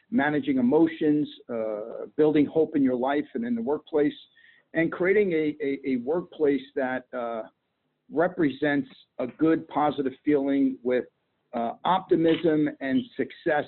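A man answering interview questions haltingly, with many fillers. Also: mid-range voice. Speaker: guest, bank culture officer. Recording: tinny phone line.

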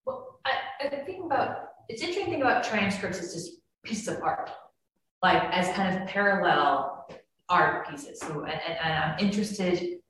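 A woman's voice: fairly low pitch, animated, normal speed, a bit bright. A woman poet on a discussion panel, talking thoughtfully and searching for her words. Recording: echoey room, faint noise.

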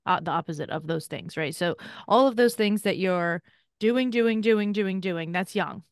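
A woman in her forties co-hosts a podcast, teaching in an eager, chatty way. Her voice is smooth and a little low. The recording is dry and close. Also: normal speed, dry recording. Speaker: podcast co-host, Chinese medicine practitioner. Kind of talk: conversational explanation.

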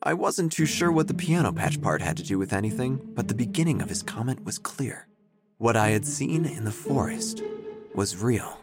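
An actor playing an earnest, wordy obituary writer who narrates an audio drama in a deliberate, deadpan way. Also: male voice, medium pitch. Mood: somber. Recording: faint music behind.

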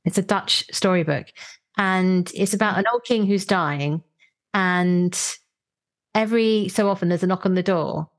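A woman's voice: fairly low pitch, a little slow. Woman hosting a podcast. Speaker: podcast host. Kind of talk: conversational podcast interview and promo.

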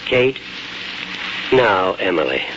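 A man's voice: deep voice, sing-song, takes it slowly, exaggerated voice. Wistful older father, muffled recording. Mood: wistful, glum. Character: wistful, gruff old father, a small-town doctor. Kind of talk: 1940s radio drama acting. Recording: muffled, noisy 1940s radio recording.

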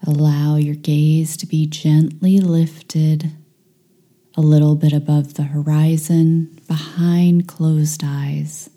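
A woman leading a guided meditation, giving calm, hushed, soothing instructions with long pauses between phrases. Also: sits low.

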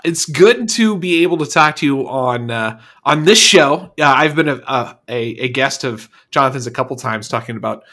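Bright-voiced man hosting a podcast. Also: medium pitch, expressive. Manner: halting, many restarts and fillers. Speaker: podcast co-host. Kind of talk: casual podcast chat.

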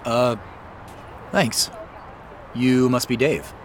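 A man's voice: mid-range voice, extremely slow, noisy background. A man playing a wary, dry-witted amateur sleuth in an audio drama, guarded and terse in his delivery. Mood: wary, puzzled. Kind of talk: naturalistic audio drama acting with first-person narration.

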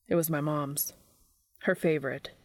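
A woman's voice: sits low, fairly even intonation, moderate pace, darkish tone, dry recording, pitched below the performer's own voice. A reserved teenage boy from an audiobook, read by a woman narrator. He talks in a casual, understated, laconic way, and the recording is dry. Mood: quiet, guarded. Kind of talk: audiobook character dialogue.

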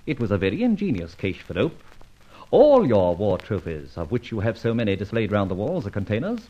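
An actor playing a suave, mocking gentleman adventurer, sardonic and mock-courteous, in an old radio drama. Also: lowish voice, wildly swooping pitch, fairly quick. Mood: taunting, confident. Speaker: lead and narrator of radio serial. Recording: muffled old radio recording.

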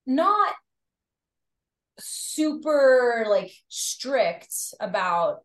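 A woman's voice: on the high side, big pitch swings, drawn-out words, a bit bright. Woman guest, faint Long Island accent, loose and talkative, room echo on the voice. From Long Island, New York. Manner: chatty, heavy use of "like". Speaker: podcast guest. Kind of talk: casual interview chat. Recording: roomy echo.

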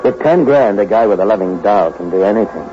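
Hard-boiled, lovestruck crook, male actor, deep and world-weary, vintage radio drama with muffled sound. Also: expressive, brisk, lightly acted. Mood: dreamy. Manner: tough, world-weary, slangy. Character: hard-boiled, lovestruck small-time crook telling his story. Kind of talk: 1960s radio crime drama acting. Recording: dull old radio recording, loud music.

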